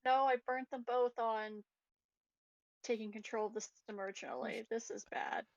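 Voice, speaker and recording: A woman's voice: on the high side, steady tone, moderate pace, dry recording, dull audio. A woman talking casually as a player in a tabletop game.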